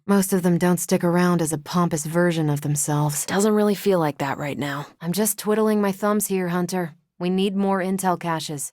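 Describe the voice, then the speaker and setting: A self-assured superhero woman, played by an actress for a video game, with a lowish voice and a very dry, close sound. She is brash and wry but loyal, talking casually like a seasoned soldier. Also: no room sound, natural voice. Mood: steady, upbeat.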